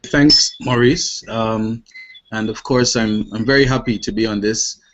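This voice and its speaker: A man in his late twenties with a Guyanese accent speaks formally and deliberately as a panelist in an online discussion, stressing points rhetorically. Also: fairly low pitch, steady tone. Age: late 20s. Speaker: youth organisation panelist. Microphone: online video call. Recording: dull lo-fi web call, clear noise.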